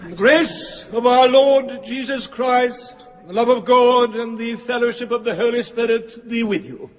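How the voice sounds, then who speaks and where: Earnest high-voiced English vicar, echoing. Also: male voice, steady tone, a little slow. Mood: ceremonial. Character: earnest English village vicar leading a wedding. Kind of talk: radio drama acting. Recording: echoey church acoustic, dull, faint music.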